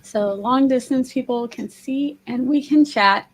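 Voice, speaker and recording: A woman losing her voice, hosting a casual stream from home, talking softly and laughing often. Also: sits high, measured pace, poor audio. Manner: soft-spoken, laughs often. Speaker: livestream host. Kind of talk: casual chat on a writing stream.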